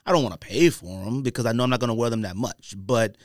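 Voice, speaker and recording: A man's voice: mid-range voice, fast talker, no echo. A middle-aged American man chats casually on a podcast in a mid-range voice. His talk is slangy and emphatic, leaning on repetition. The sound is dry and close, with very little room. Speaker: podcast host. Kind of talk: casual chat about sneakers. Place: close-miked podcast studio.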